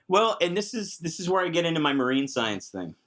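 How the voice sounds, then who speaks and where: A man's voice: a little high, very animated, a little fast, a bit bright. A man talking casually and enthusiastically as a podcast guest, with emphatic phrasing, in a discussion of tabletop game monsters.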